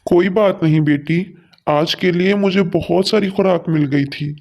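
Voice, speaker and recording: A man's voice: sits high, measured pace, darkish tone. A man voicing a kindly, earnest father sparrow in a cartoon story, with a reassuring manner.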